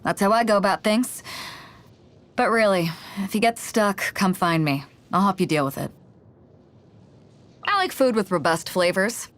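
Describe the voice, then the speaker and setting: An actress voicing a bold, generous pirate fleet captain in a video game, with a hearty, self-assured swagger and easygoing manner. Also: female voice, mid-range voice, animated, unhurried, long pauses, lightly acted. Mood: cheerful, easygoing. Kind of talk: video game character voice lines.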